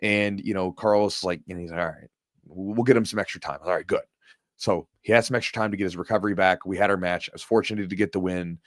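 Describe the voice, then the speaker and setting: A man's voice: deep voice, steady tone, fast, dry recording, clean studio sound. A man hosting a podcast, telling a competition story in a casual, conversational way and often repeating his words. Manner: conversational, repeats words, lots of "you know". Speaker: podcast host and jiu-jitsu coach.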